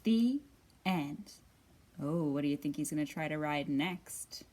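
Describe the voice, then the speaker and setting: A woman hosting a children's storytime, reading and counting in an expressive, sing-song way with drawn-out words. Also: sits low. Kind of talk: expressive read-aloud and counting for young children. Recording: somewhat distant from the mic.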